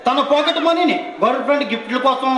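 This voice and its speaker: A man's voice: extremely high pitch, fast. An actor playing a cocky, theatrical young woman's cousin in a stage play, declaiming and teasing in a noisy echoing hall. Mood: boastful. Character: cocky, theatrical male cousin from the film trade. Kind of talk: Telugu stage play acting. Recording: echoey hall, loud crowd and noise.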